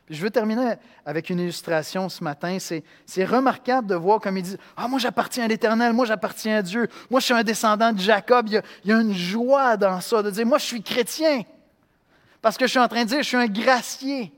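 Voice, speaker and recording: A man from Quebec with a Québécois accent, preaching a sermon in an animated way, acting out voices and swinging between loud and hushed. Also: high-pitched, a little fast, big-room sound. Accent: Québécois French. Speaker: pastor.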